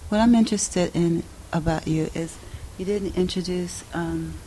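A woman's voice: on the low side, normal speed, mellow, slight background noise, low-quality recording. A woman in the audience asking a long, rambling question, repeating words as she searches for what to say.

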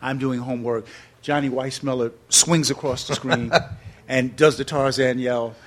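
A man in his sixties from New York City tells long stories to an audience as an interview guest. His voice sits at an ordinary pitch, and he switches between lively, laughing bursts and slow, reflective stretches. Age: 60s. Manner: animated storyteller, laughs often, drops into slower reflective passages. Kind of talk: storytelling before an audience.

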